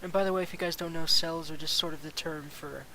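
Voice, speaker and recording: A young man with a raspy voice from a cold, chatting casually and self-deprecatingly while commenting on his gameplay. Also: on the high side, steady tone, fast talker, pushed and bright, slight background noise, rough recording. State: has a cold. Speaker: let's play commentator.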